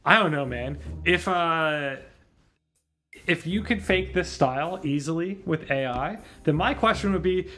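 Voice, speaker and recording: A man's voice: a little high, lively intonation, measured pace, sharp tone. A man doing casual livestream commentary as he reads a game, rambling and thinking aloud. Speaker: streamer reading a visual novel aloud. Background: faint game music.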